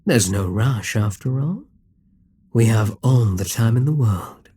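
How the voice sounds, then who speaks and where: A man playing a dominant, gloating Demon King in an intimate ASMR roleplay, smug and coaxing, with a breathy, husky voice that often drops toward a whisper. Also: lowish voice, lively intonation, unhurried, dark tone, no room sound. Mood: coolly triumphant. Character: dominant, gloating male Demon King toying with a captive hero.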